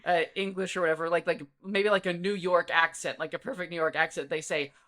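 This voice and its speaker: A man co-hosting a podcast, telling stories in a rambling, animated, casual way and swearing freely. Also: high voice, fast. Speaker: podcast co-host. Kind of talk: casual chat.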